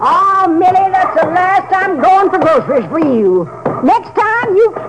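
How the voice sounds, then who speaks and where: A woman's voice: very high-pitched, expressive, unhurried, over loud music, low-quality recording. An actress playing a cranky, muddled older mother in a 1950s radio drama, scolding in a folksy way. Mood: exasperated, scolding. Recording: old radio recording with sound effects.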